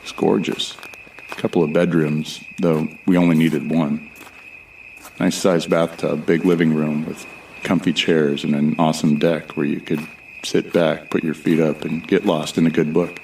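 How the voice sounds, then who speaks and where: A man playing a wary, reflective traveler who narrates his own journey in measured, descriptive audio drama storytelling. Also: rumbling low, flat delivery, creaky, a little mellow, slight background noise, poor audio. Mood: fond recollection.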